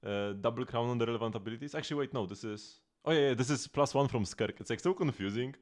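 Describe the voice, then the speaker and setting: A man's voice: mid-range voice, quick pace. Chatty man in his late twenties. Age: late 20s. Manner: rambling and chatty, with lots of restarts and laughs. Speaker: streamer. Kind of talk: casual gaming livestream chat.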